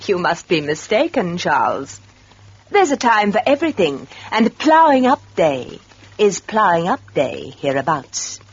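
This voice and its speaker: An actress playing a shrewd farmer's wife in a radio drama, measured, probing and pointed, with a slightly husky voice. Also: female voice, lowish voice, very animated, heavily acted. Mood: assured. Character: shrewd, composed farmer's wife, quietly relentless. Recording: dull old radio recording.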